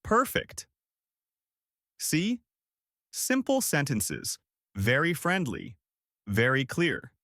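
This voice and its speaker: A man co-hosting a podcast English lesson, explaining example phrases in a clear, upbeat way. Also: fairly high pitch, wildly swooping pitch, very slow, long pauses, bright, forceful tone, no room sound, clean studio sound. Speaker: podcast co-host and English teacher. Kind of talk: scripted English lesson dialogue.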